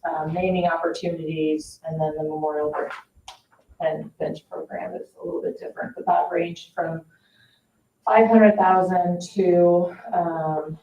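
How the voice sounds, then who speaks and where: A woman's voice: deep voice, warm tone, a little hiss or hum. Distant echoey woman explaining at a meeting. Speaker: parks staff member presenting at a board meeting. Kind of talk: informal meeting discussion. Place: indoor public board meeting room. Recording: echoey meeting room, distant dull mic.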